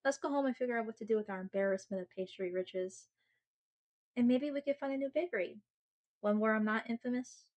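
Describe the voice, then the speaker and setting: A somewhat high-pitched young-love girlfriend character , acted by a woman, speaks straight to her partner in an ASMR audio roleplay. She is sweet and earnest, halting when bashful. She slips into playful, self-mocking seriousness as she chats. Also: darkish tone, lightly acted. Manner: chatty, halting, bashful with dramatic mock seriousness.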